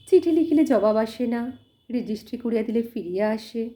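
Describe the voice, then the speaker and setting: A woman narrating a literary story in an expressive, measured storytelling manner. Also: fairly high pitch. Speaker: audiobook narrator.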